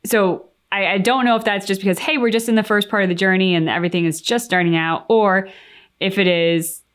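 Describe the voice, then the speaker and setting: A woman's voice: medium pitch, fairly even intonation, a little fast. A woman co-hosting a game reaction channel, talking casually and reflectively, with plenty of likes and you-knows as she thinks aloud. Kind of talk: casual discussion, giving opinions.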